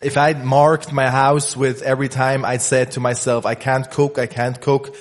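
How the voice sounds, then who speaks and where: A man's voice: medium pitch, little pitch movement, unhurried. A man interpreting a sermon line by line, often restarting and repeating words as he searches for the right phrasing.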